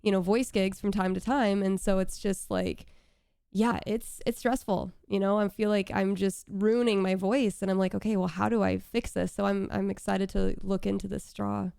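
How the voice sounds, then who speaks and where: A young woman with a raspy voice and vocal fry, hosting a casual interview from her home streaming setup. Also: medium pitch, moderate pace, dry recording, clean studio sound. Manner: bubbly, excitable. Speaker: host. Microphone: studio mic with headphones.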